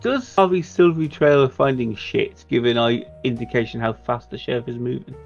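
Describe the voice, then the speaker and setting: A man's voice: medium pitch, brisk, creaky, lo-fi sound, faint music behind. A man playing a tabletop game, talking through plans casually in an assertive, wry way. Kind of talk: casual game planning chat.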